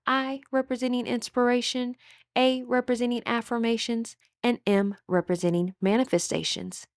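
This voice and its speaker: A woman leading a guided meditation in a measured, instructive way, with drawn-out phrases and audible breaths. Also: fairly high pitch, fairly even intonation, no echo, studio quality.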